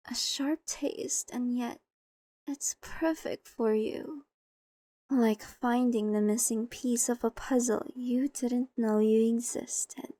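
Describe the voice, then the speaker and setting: A woman's voice: fairly high pitch, dark tone, no room sound. A woman playing a seductive, possessive, ancient vampire mistress in an ASMR roleplay, coaxing and condescending, sometimes slipping into whispers. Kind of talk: ASMR roleplay acting, addressed to the listener.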